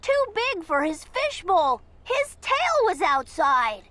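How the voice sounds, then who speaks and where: A woman with a very high voice reads a children's story aloud. Her delivery is slow and dramatic, with lively swings in pitch. There is clear noise behind her. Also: no echo. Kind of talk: expressive children's picture book read-aloud.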